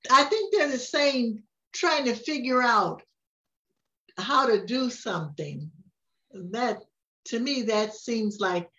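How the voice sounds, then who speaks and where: An older woman reading to children and chatting gently about a picture book, often laughing softly. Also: medium pitch. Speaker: storytime reader. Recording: dull lo-fi sound.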